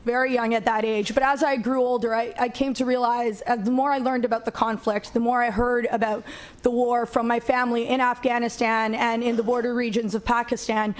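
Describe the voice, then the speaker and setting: A woman in her twenties from Canada, speaking earnestly as a seated panelist and pausing often with "um". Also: on the high side, little pitch movement. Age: 20s. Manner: earnest, many "um" fillers.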